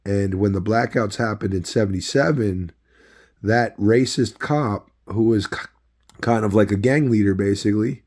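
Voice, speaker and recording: A man who is losing his voice, telling a long personal story in a rambling, halting way with many fillers. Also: on the low side, a little mellow. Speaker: video host. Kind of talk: casual personal storytelling to camera.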